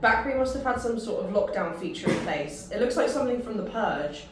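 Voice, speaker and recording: A woman's voice: a little high, expressive, brisk, very echoey, background noise, natural voice. A woman voicing an exasperated, brusque male army sergeant.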